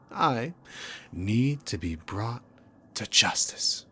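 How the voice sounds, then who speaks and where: Demon king villain, played by a man: very low register, unhurried, teasing and dominant, sarcastic ASMR roleplay delivery. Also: sharp tone, exaggerated voice. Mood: mocking. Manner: smug, teasing, drawn-out theatrical phrasing. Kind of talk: scripted ASMR roleplay addressed to the listener.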